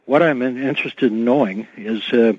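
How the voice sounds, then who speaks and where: A man's voice: medium pitch, moderate pace, husky, no room sound. Male Canadian caller on a dull line. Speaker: radio caller. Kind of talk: earnest call-in comment and question. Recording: dull, dry telephone call to radio.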